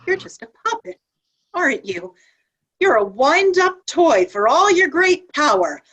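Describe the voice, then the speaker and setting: Woman as indignant saint, high voice, animated pitch, challenging, emphatic, stage play table read. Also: measured pace, muffled recording, exaggerated voice. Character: outspoken, indignant female saint doubting heaven's plan.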